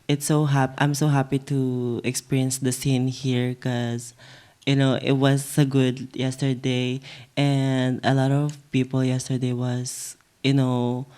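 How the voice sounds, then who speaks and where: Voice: mid-range voice, darkish tone, clear, resonant tone. A speaker from the Philippines with a Filipino accent, a Tagalog speaker, talking English hesitantly with simple phrasing as a podcast guest. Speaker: panel guest.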